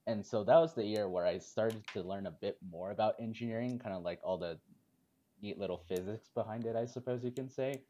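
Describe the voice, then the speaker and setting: Low-voiced young man chatting. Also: brisk, muffled recording. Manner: relaxed storytelling, laughs often. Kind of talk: casual group chat.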